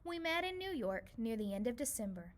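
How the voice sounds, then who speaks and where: Woman as a kindly, gentle old storybook lady. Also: a little high, sing-song, a little fast. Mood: gentle, nostalgic. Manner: gentle, soothing, reminiscing. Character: kindly, lonely old woman sheltering a stray cat. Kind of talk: children's storybook read-aloud.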